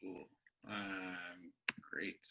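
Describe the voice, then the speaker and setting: A man hosting an interview in a friendly, halting way, with ums and restarts. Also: deep voice, little pitch movement, gravelly, dull audio, very noisy. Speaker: interviewer and festival host. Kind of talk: casual interview.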